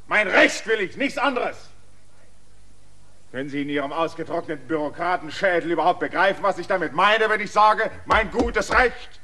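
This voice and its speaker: Radio drama: an indignant land surveyor, slightly high voice, flares into rage. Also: male voice. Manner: indignant, bursts into angry shouting. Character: proud defiant male land surveyor demanding his rights. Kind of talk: radio play acting.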